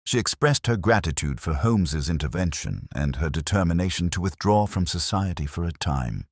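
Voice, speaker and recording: A man narrating a scripted first-person mystery story in a measured, formal, literary manner. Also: low-pitched, animated, dry recording, clean studio sound. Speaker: audiobook narrator.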